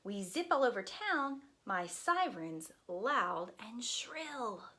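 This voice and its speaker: A woman's voice: a little high, sing-song, slow, pushed and bright, heavily acted. A woman voicing an eager, proud little fire truck in a children's picture book, with emphatic sing-song rhyming delivery.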